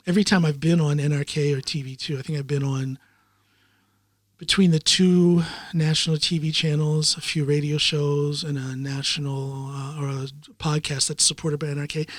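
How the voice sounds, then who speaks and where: Male podcaster, reflective and rambling, fairly high register, dry close mic. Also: a bit bright, no echo. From United States. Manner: rambling and reflective, many ums and uhs. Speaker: podcast host in the guest chair. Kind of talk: casual interview chat.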